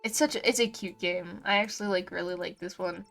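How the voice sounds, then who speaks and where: A woman's voice: on the low side, fairly even intonation, fairly quick, vocal fry, a bit bright. A woman game streamer giving casual, sweary and effusive livestream commentary on a visual novel. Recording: music behind the voice.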